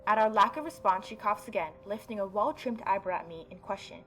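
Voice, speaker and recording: A woman gaming YouTuber reading a story game aloud and adding expressive, mocking asides. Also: mid-range voice, fairly quick, slight background noise. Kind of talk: let's-play reading aloud with reaction commentary.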